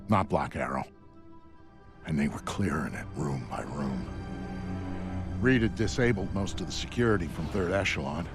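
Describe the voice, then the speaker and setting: A man playing a wry, hard-boiled military veteran who narrates a video game story in a gravelly, matter-of-fact way. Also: rumbling low, heavily acted. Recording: music behind the voice. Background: soundtrack music.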